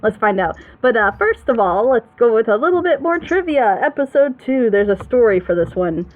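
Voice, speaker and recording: Female host, higher register, chatty and encouraging, rambles freely; thin phone-like audio. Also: sing-song, moderate pace, a little hiss or hum. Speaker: host of a group reaction show. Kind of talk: casual group chat, giving advice. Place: online group voice call. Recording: thin, band-limited voice chat audio.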